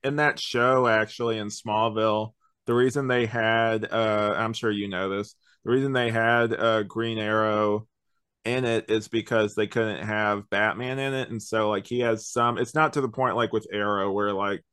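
A man's voice: fairly low pitch, steady tone, moderate pace, a bit bright, smooth, dry recording, studio quality. A young man co-hosting a podcast, giving a casual, rambling conversational review. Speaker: podcast co-host.

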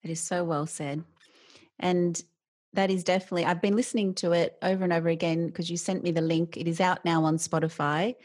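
A woman hosts a casual interview. She is chatty and encouraging, with a somewhat low voice. The sound is dry and close. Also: flat delivery, fairly quick, a little mellow, no echo. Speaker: interviewer host.

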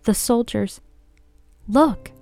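Woman voicing a shrill hysterical young woman. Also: a little slow, mellow, soft background music, lightly acted. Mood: alarmed. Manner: high, shrill, laughing wildly. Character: young woman, athletic games mistress, gone hysterical.

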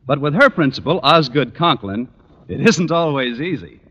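An American man working as an old-time radio announcer. His voice is bright and fairly high with sweeping intonation, polished and emphatic, in a long reverb. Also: expressive, moderate pace, breathy edge, lo-fi sound. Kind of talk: 1940s radio announcing, scripted.

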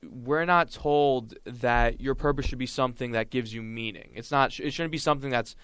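A man's voice: medium pitch, moderate pace, fairly bright tone, clean tone, dry recording. A young man hosting a casual podcast chat, talking earnestly and reflectively in long, winding sentences. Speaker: podcast host.

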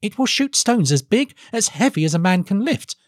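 A man's voice: high-pitched, big pitch swings, brisk, breathy edge, dry recording, studio quality, pitched above the performer's own voice, full character voice. A man voicing an eager young man who pleads his case to a king in an earnest, insistent way, as in a storybook reading. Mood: eager, alarmed. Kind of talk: audiobook character voice.

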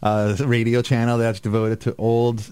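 A man's voice: mid-range voice, completely flat delivery, normal speed, darkish tone, clear, resonant tone, no echo. American man hosting a live radio show. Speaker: radio show and podcast host. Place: radio studio.

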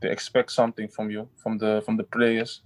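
Halting footballer man, flat voice. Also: on the low side, dry recording. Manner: halting, restarts often, simple phrasing. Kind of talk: interview answers.

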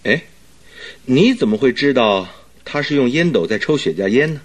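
An actor playing a detective's good-natured, curious companion in a radio drama, with a husky voice and a hesitant, questioning manner. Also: male voice, mid-range voice, lively intonation, moderate pace, lightly acted. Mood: curious, puzzled. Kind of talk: Chinese radio drama acting.

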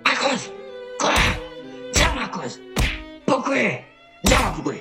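A man's voice: extremely high pitch, big pitch swings, slow pace, over music, full character voice. A man playing an enraged, anguished brother in an audio drama, pleading and crying out in repeated phrases. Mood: anguished rage.

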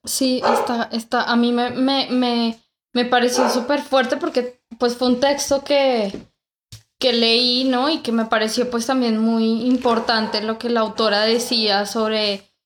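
Hesitant higher-voiced woman in an echoey room. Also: little pitch movement, unhurried. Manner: hesitant, many fillers and tag questions. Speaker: guest. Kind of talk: conversational academic discussion.

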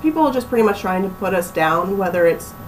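A young woman from Pennsylvania, chatting casually as a small business owner in an interview, relaxed and full of ums. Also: medium pitch, fast, far from the mic, a little hiss or hum. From Pennsylvania, USA. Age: young adult. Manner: relaxed, chatty, laughs easily, many ums.

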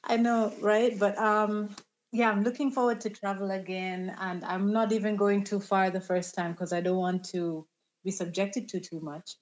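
A woman's voice: mid-range voice, flat delivery, moderate pace, highs cut off. A woman guest in a casual podcast interview, talking animatedly and laughing easily.

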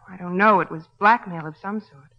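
A woman's voice: fairly low pitch, fairly quick, close to an everyday voice. An actress playing a desperate, defensive woman suspected of murder in an old radio drama, pleading and indignant. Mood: anxious confiding. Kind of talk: 1940s-50s radio drama acting. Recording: muffled old radio, long reverb.

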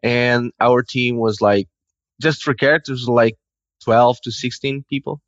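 A man's voice: mid-range voice, fairly even intonation, a little slow, no room sound, highs cut off. A man from Portugal with a Portuguese accent, speaking conversationally as an interview guest. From Lisbon, Portugal. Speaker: guest, video game character artist.

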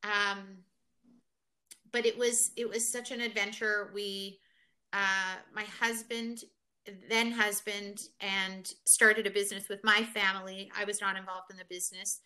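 A woman's voice: medium pitch, flat delivery, unhurried, pushed and bright. A woman from Kenya, a guest in a conversational interview, telling her story reflectively with many fillers.

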